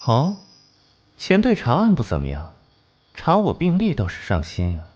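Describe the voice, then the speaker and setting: An actor voicing a cold, aloof genius detective in an animated drama, lecturing with mocking detachment. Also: male voice, mid-range voice, moderate pace, muffled recording. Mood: smug, probing. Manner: mocking, lecturing, coolly rational. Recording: music behind the voice.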